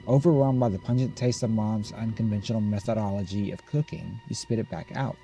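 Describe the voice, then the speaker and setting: A man playing a game on a recording, reading its text aloud and thinking out loud with some hesitation. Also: on the low side, poor audio. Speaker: gamer reading and reacting to a visual novel.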